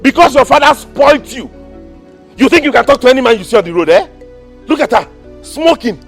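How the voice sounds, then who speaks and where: A man's voice: very high voice, big pitch swings, fairly quick, soft background music, heavily acted. A man playing an indignant Nigerian man. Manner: protesting loudly, pleading. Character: indignant man demanding his money. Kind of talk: Nollywood drama acting.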